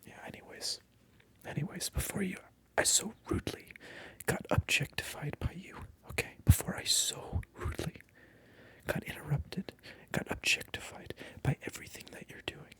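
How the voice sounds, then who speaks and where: Whispering ASMR performer, very husky and breathy, close-miked, playful mock indignation, repetitive phrasing. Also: medium pitch, moderate pace, a bit bright, rough recording. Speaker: ASMR video creator. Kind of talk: whispered ASMR roleplay monologue to the viewer. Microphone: close microphone.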